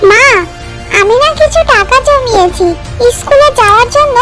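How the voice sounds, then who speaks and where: A woman's voice: very high voice, normal speed, bright, forceful tone, soft background music. A woman voicing an eager little fox girl in a children's cartoon, childlike and sweetly coaxing. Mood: pleading, eager.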